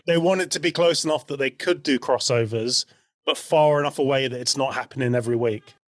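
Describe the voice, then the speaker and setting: A man's voice: medium pitch, a little fast, no echo. A man co-hosting a podcast, explaining things in an easygoing, casual chat about pop culture. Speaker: podcast co-host.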